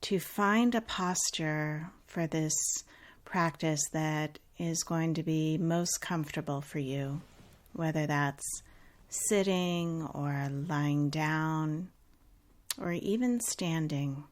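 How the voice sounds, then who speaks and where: Female meditation guide, low register, very slow delivery, calm and unhurried, gentle instruction with generous pauses.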